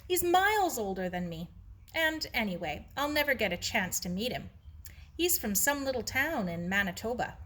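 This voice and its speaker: A bashful young English girl in a storybook , read by a woman. She talks in an earnest, colloquial way, with bright tone and lively pitch. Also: normal speed.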